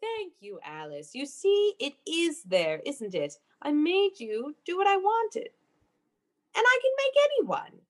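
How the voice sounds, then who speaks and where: A woman's voice: sits high, wildly swooping pitch, unhurried, heavily acted. An actress playing an obsessive, unhinged young woman in a melodramatic radio play, with a theatrical, breathless, intense manner. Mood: fierce, commanding. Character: obsessive, unhinged young woman convinced of her dark power. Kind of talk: melodramatic old-time radio play acting.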